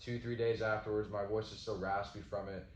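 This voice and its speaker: A very deep-voiced man from Southwest Florida rambles through casual talk, leaning on "like" and "you know". The sound is a bit dull and muffled. It bounces around an echoey room. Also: level tone, a little fast, slight background noise. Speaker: ring announcer and co-host. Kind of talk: casual chat.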